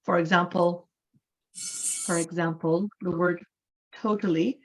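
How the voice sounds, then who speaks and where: A woman in her forties from Canada teaching an English class online. She explains patiently, slowing down and repeating key words for emphasis. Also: fairly low pitch, steady tone, faint music behind, poor audio.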